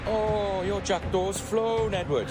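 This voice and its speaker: A male actor playing a treacherous, gloating pirate captain in a game, taunting with drawn-out theatrical phrasing over loud music. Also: sits high, steady tone.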